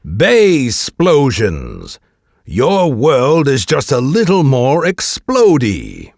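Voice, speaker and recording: A man's voice: medium pitch, wildly swooping pitch, extremely slow, gravelly, a little mellow, no echo, studio quality, heavily acted. A man playing a hammy, playful video game announcer in an audio drama. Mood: playful, hyped. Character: excitable game announcer revealing new traits.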